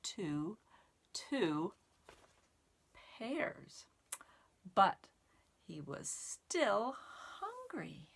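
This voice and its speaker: A woman reading aloud to young children in simple, expressive, word-by-word phrases. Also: mid-range voice, very slow, vocal fry, pauses often, husky. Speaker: storytime reader and sign language teacher. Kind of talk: children's read-aloud and sign lesson.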